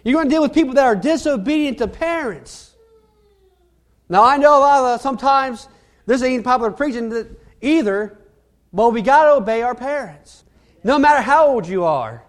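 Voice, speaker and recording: A man preaching a sermon in a halting, earnest way, often repeating words as he goes. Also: very high-pitched, animated.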